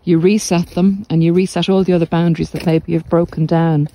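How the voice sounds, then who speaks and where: A middle-aged woman giving reflective, informal podcast advice in a measured, deliberate way with pauses. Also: on the low side, little pitch movement, mellow, no echo, low-quality recording. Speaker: podcast host and narcissistic abuse recovery coach. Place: walk outdoors along the coast.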